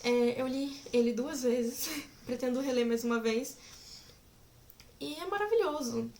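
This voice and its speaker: A woman's voice: high voice, a little slow, a little mellow, a little hiss or hum. A woman in her twenties chatting casually to camera as a vlogger, giggly and rambling. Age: 20s.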